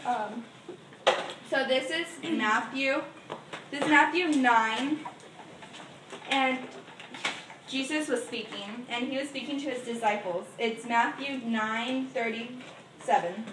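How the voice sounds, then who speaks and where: A woman's voice: a little high, takes it slowly, long pauses. A young woman casually addressing a group as its leader, informal and chatty, recorded from a distance in an echoey, noisy room. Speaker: youth group leader opening a Bible meeting.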